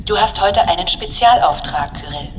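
Distant AI assistant voiced by an actor, reverberant, calm, insistent, matter-of-fact, noisy background, radio drama. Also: medium pitch, moderate pace, natural voice. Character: insistent AI assistant voice waking an officer.

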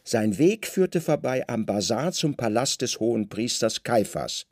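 A man narrating a literary radio play with dramatic, deliberate storytelling in short phrases. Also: mid-range voice, animated, darkish tone, no room sound, studio quality.

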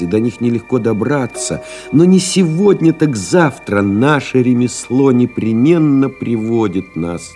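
An actor playing a pensive airmail pilot who recalls lost comrades in a literary audio drama, solemn and reflective, with measured dramatic pauses. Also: male voice, on the low side, a little mellow, heavily acted. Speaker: actor reading a literary memoir as narrator.

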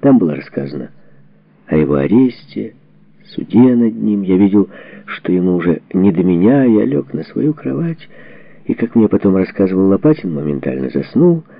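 A man reading a memoir as a scripted audiobook narration, with measured, dramatic phrasing and expressive delivery. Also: sits low, gravelly. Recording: dull, muffled lo-fi audio.

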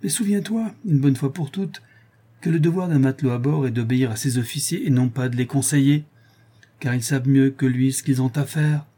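A man playing an authoritative naval lieutenant in a storybook, formal and measured as he addresses his crew. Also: medium pitch, dark tone, pitched above the performer's own voice, lightly acted. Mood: stern. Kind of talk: acted audiobook character dialogue.

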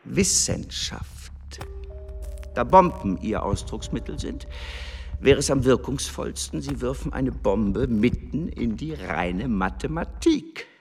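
Slow, swooping, condescending embassy secretary. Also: male voice, mid-range voice, expressive, creaky, a little mellow, faint music behind. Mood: scornful lecturing. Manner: theatrical, condescending, drawn-out emphasis. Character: cynical, smug, imperious embassy secretary in Edwardian London. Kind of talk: radio drama acting.

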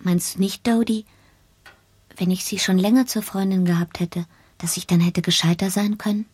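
An actress playing a naive, affectionate young bride, coy and girlish, with a soft, breathy voice in a literary radio play. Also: medium pitch, fairly even intonation, moderate pace, dry recording, natural voice. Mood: playful, needy.

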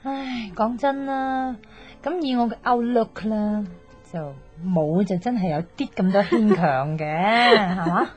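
A woman's voice: mid-range voice, takes it slowly, natural voice. Woman acting a blunt, chatty young Hong Kong woman. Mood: chatty, rueful. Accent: Hong Kong Cantonese. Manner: chatty, blunt, sighing, mock-exasperated. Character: outspoken, teasing young woman, best friend giving love advice. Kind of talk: naturalistic radio drama acting. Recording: slightly dull, music underneath.

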